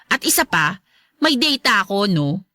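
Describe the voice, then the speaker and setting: A woman's voice: medium pitch, big pitch swings, a little slow, bright, forceful tone, dry recording. A woman playing a haughty young stepmother in a Filipino radio drama, curt and sarcastic, syrupy when she feigns affection. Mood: haughty, hostile. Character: haughty, hostile young stepmother, sweet only to her husband.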